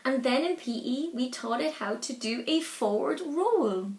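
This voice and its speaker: High-voiced woman reading slowly, echoey room. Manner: deliberate, animated delivery for young children. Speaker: storyteller reading aloud to children. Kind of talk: expressive picture-book read-aloud. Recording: echoey, roomy sound.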